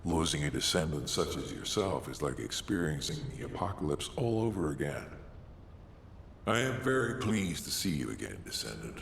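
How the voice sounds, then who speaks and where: An actor playing a solemn, wise male guide of warriors in a video game, speaking in a grave, measured, formal way. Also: a bass voice, big pitch swings, vocal fry, heavily acted. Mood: relieved, solemn. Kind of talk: scripted video game dialogue. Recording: roomy long reverb, faint noise.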